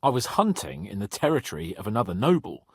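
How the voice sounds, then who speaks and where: An actor playing a brash, petulant young medieval nobleman in a video game, with a husky voice and a pompous, sardonic manner. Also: on the low side, sing-song, fast talker, no echo, studio quality, full character voice. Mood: haughty, self-justifying. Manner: pompous, sardonic, swearing freely.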